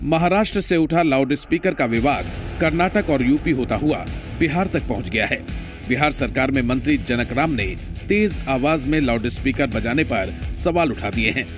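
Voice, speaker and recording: A man's voice: medium pitch, lively intonation, moderate pace. A man reading a scripted news narration as a voice-over. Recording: music under the voice. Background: music.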